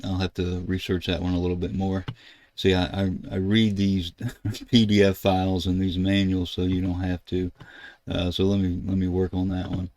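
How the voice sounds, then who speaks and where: An older man, giving a casual, halting screen tutorial as a ham radio video host. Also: low voice, completely flat delivery, darkish tone. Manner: halting, rambling explanation. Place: indoors at a computer desk.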